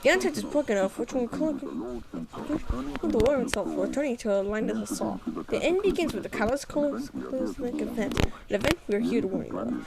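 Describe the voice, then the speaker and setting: A woman reading aloud and commenting casually in a halting, stumbling way. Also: fairly high pitch, animated, gravelly, a loud crowd, poor audio. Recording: heavy background noise.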